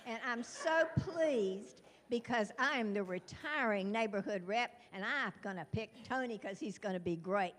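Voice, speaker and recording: A woman's voice: medium pitch, normal speed, hardly any pauses. An older woman introducing new residents at a meeting, laughing often and losing her place. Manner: warm, giggly, scattered, self-deprecating. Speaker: retiring neighborhood representative. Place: chapel hall.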